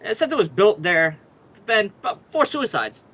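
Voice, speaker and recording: A man playing a bright, animated radio ghost hunter. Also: sits high, fairly quick, full character voice. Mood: brisk, wry. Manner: showy, chatty, joking. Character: brash, wisecracking male radio ghost hunter on location. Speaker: radio program host. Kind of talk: old-time radio drama acting.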